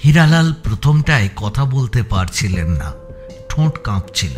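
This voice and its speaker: Man, story narrator, dramatic scripted reading, highly expressive melody, mid pitch, soft music behind. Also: moderate pace. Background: faint background music.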